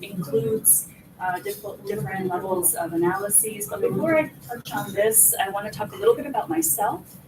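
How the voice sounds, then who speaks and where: A woman's voice: mid-range voice, very animated, normal speed. A woman in her forties, born in Peru and raised in the US, giving an earnest, step-by-step academic conference presentation as an assistant professor. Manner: earnest, explanatory, builds points step by step. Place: conference hall. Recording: roomy hall, mic from far off, noisy.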